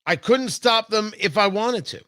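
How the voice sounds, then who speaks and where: A man's voice: high-pitched, expressive, unhurried, pushed and bright, no echo, clean studio sound. An American man delivering an opinionated political monologue as a radio host, emphatic and theatrical, repeating phrases for effect. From New York and New Jersey, United States.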